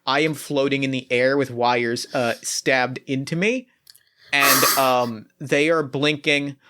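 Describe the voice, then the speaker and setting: Halting male game master. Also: mid-range voice, steady tone, bright, forceful tone. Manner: halting, with many fillers and restarts. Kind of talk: narrating a tabletop game.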